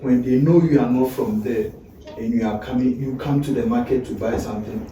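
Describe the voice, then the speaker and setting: Male host, casual prompting interviewer, very dark tone, heavy room echo. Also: medium pitch, fairly quick. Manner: prompting, finishes the other's sentences. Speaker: host asking questions. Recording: very echoey room, distant mic, faint noise.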